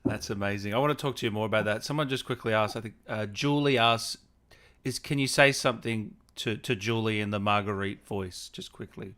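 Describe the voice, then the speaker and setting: A man's voice: on the low side, fairly quick, fairly bright tone. Casual male interview host. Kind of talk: casual livestream interview chat.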